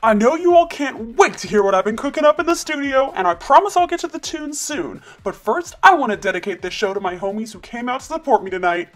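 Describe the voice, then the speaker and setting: A woman plays a chill young dude DJ in a doll stop-motion video, full of slang and hype, his voice bouncing up and down in pitch with a lively lilt. faint music hums underneath. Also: fast talker, hardly any pauses, close to an everyday voice. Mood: hyped. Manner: slangy, hyped bro talk. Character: laid-back young male DJ, slangy party dude.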